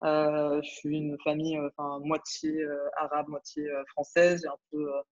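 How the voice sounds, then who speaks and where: A woman's voice: low voice, little pitch movement, normal speed, a little mellow, muffled recording. A woman in her twenties from France, a startup founder talking casually in an interview, hesitant with many fillers and restarts. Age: 20s. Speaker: startup founder, podcast guest.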